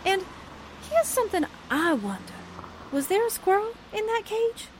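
A woman's voice: extremely high pitch, very animated, normal speed, a little hiss or hum. A woman playing a spirited, plain-spoken newspaperwoman sidekick in old-time radio drama style, folksy and exclamatory. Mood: curious.

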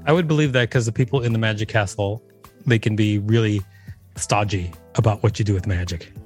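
A man's voice: on the low side, a little fast, soft background music. A man, co-hosting a podcast, reading an article aloud and chatting casually about it. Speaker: podcast co-host.

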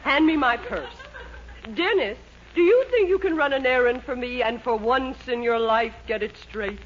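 An actress playing a bossy, excitable middle-aged wife in a theatrical 1940s radio comedy style. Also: female voice, sits high, moderate pace, crowd noise. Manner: theatrical, imperious. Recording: dull old radio recording, faint noise.

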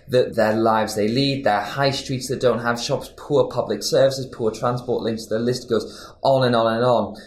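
A man interviews a politician with conversational, probing questions. His voice rings a little in a roomy space, and he sits at a middling pitch.

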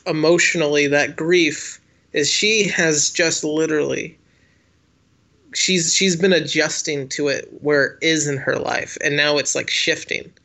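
A man's voice: a little high, fairly even intonation, unhurried, vocal fry, pushed and bright. A man co-hosting a podcast, casually discussing a film in colloquial speech and checking that others follow him. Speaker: podcast co-host.

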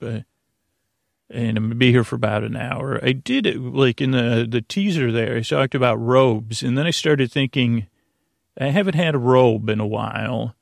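A man with a creaky, mid-pitched voice hosts a bedtime podcast. He meanders through his monologue, doubling back and stumbling into fresh starts. The recording is very dry and close, with no room sound. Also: dry recording. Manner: meandering, halting, self-interrupting with frequent stammered restarts. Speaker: podcast host.

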